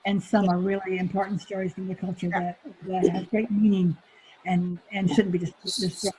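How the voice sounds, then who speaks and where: A woman's voice: mid-range voice, level tone, moderate pace, dark tone, a little hiss or hum, low-quality recording. An older woman presenting a webinar over a video call, chatty and reassuring, often repeating her words. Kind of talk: casual discussion on a video call.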